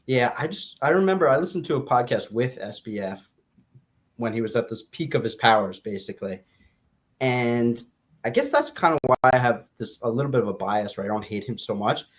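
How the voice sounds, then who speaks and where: A man's voice: medium pitch, expressive, brisk. Man casually talking through a book. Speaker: podcast co-host discussing a book.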